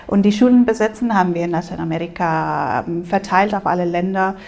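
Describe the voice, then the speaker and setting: Creaky-voiced woman. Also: on the low side, fairly quick, darkish tone. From Spain. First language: Spanish. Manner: hesitant, many filler sounds, small grammar slips. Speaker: exchange program advisor. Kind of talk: interview answers. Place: language school room.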